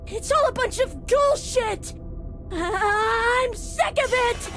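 A woman's voice: very high-pitched, slow pace, fairly bright tone, faint music behind, exaggerated voice. A woman voicing a frantic, aggrieved young hermit crab hero in a video game, whiny and stammering with exasperation. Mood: indignant outburst. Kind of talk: video game cutscene acting.

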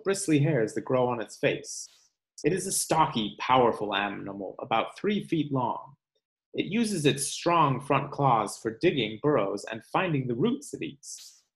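A man in his thirties with a mid-range voice speaks as a teacher to young pupils. He phrases things in a measured, clear way, as in a picture book read-aloud. He is recorded in a room at home on a webcam. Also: medium pitch. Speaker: teacher reading aloud to third-grade class.

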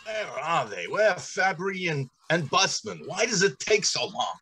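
A man's voice: high voice, sing-song, moderate pace, sharp tone, breathy edge, exaggerated voice. A man playing an anxious, impatient character in a radio drama, agitated and theatrical. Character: anxious, impatient man awaiting his colleagues. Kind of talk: old-time radio drama acting. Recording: noisy with clicks.

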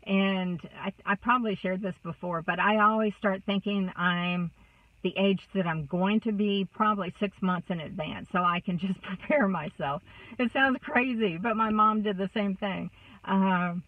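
A woman's voice: mid-range voice, moderate pace, dry recording, distant mic. A woman of sixty with a Texas accent, chatting casually to a phone camera inside a car, rambling with many fillers. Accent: Texan. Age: 60s. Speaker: vlogger. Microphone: phone camera close up. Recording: dry, thin phone-like sound.

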